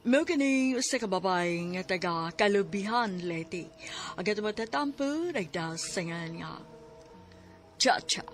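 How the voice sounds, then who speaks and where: A woman's voice: mid-range voice, animated, measured pace, soft background music. A woman announcer delivering a dramatic scripted introduction to a radio drama.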